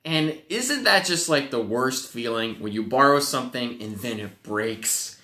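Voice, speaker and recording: A young man, a youth pastor, teaching a Bible lesson to children with animated storytelling and playful acted-out voices, speaking to a webcam in a home office. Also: medium pitch, measured pace, echoey room. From Wisconsin, USA. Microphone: webcam at desk.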